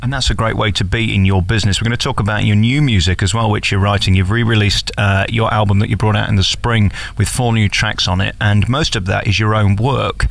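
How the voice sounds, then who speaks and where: Creaky-voiced English male interviewer. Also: on the low side, steady tone, a little fast, few pauses, fairly bright tone, no room sound. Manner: complimentary, effusive. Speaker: interviewer, radio host. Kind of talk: conversational celebrity interview.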